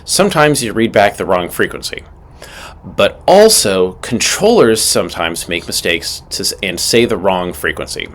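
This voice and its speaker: Male instructor, lower register, lively intonation, scripted and methodical teaching read with clear emphasis. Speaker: aviation instructor. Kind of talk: scripted instructional narration with acted radio call examples.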